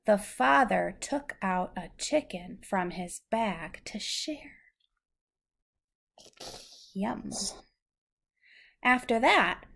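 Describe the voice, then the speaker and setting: A woman's voice: a little high, vocal fry. A woman reading a story aloud to a young child, patiently explaining words in simple terms. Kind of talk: slow read-aloud storytelling with questions to a child.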